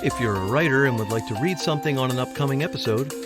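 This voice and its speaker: A man's voice: medium pitch, quick pace. A man reading a scripted podcast outro as the host. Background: marimba music.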